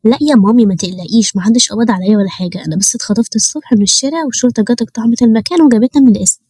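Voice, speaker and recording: A woman's voice: a little high, very fast, warm tone, breathy edge, no room sound, studio quality. Woman doing a cheeky streetwise Egyptian girl. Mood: carefree.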